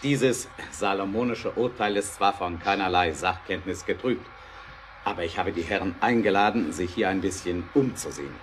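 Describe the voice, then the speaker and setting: A man acting a determined, practical inventor in a German radio play, measured, persuasive and drily ironic. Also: deep voice, a little hiss or hum, close to an everyday voice. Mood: dry, ironic.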